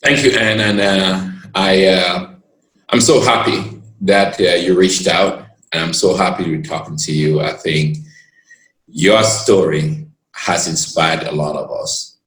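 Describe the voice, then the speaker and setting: A man from Cameroon, a lawyer and guest who tells his story in an earnest, expansive way and pauses to gather his words. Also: deep voice, fairly even intonation, fairly bright tone, roomy sound, poor audio. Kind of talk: reflective storytelling in conversation.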